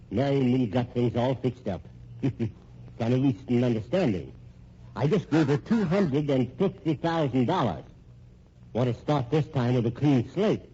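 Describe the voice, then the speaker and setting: An actor playing a vengeful, folksy old rich American husband in a vintage radio drama, hesitant, rambling and slyly menacing. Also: male voice, medium pitch, dark tone, slightly rough, exaggerated voice. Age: older. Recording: muffled, noisy old radio recording.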